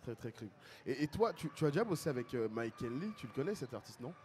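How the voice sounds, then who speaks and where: Joking host at an outdoor football ground. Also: male voice, medium pitch, fast talker, crowd noise. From Paris. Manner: relaxed, joking, many fillers. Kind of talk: casual interview chat.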